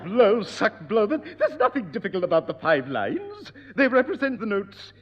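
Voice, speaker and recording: A fussy scolding piano teacher; high, lively pitch, echoey old broadcast. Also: male voice, moderate pace, a faint crowd, heavily acted. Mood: exasperated. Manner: prim, scolding. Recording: dull echoey old radio recording.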